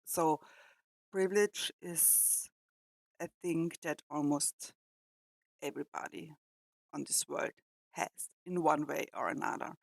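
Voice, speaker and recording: A woman from Austria with an Austrian German accent and German as her first language, talking haltingly as a reaction host and pausing to search for words. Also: sits low, steady tone, creaky, no room sound. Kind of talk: casual reflective commentary.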